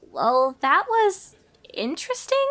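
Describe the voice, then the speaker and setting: A woman voicing an earnest young female pony spectator, speaking in expressive asides in a story reading. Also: extremely high pitch, takes it slowly, voice pushed up, heavily acted. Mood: bemused.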